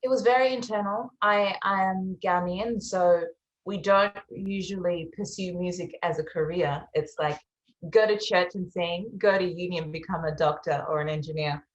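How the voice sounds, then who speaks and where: A woman's voice: on the low side, normal speed, echoey room, dull audio. A young Australian woman, a musician, answering interview questions in a reflective, earnest way. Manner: reflective and earnest, with soft fillers. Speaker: musician guest.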